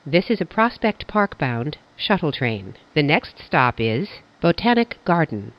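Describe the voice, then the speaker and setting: A transit announcer reading scripted train announcements in a formal, measured way. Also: medium pitch, sing-song. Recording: dull, noisy train announcement recording.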